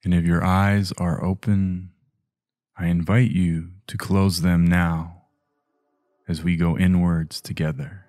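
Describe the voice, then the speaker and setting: Male meditation guide, low register, very unhurried, gentle hushed phrasing with long pauses. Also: flat delivery, no echo, clean studio sound. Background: music.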